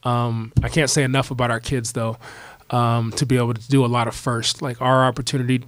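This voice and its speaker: A man in his forties, a basketball coach answering postgame press questions at a table mic, talking in a measured way with many restarts. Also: medium pitch, dry recording. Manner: repetitive restarts, steady and measured. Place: press conference room, seated at table. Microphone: table mic on stand.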